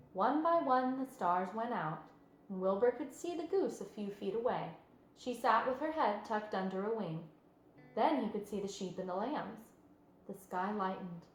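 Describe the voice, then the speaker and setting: A woman reading a children's story aloud as an expressive narrator. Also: a little high, reverberant, rough recording. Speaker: storybook reader.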